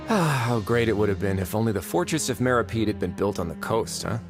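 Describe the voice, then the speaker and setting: An actor playing a calm, courteous young duke who runs a prison, speaking with polished, gently wry politeness in video game dialogue. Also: male voice, fairly low pitch, moderate pace, music in the background, lightly acted.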